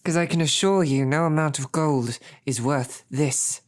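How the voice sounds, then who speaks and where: A woman's voice: low voice, a little slow. A woman playing a snarky, defiant captive knight loyal to her princess, speaking in formal, chivalrous phrases with dry sarcasm in an audio drama. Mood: defiant, stern. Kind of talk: scripted audio roleplay drama.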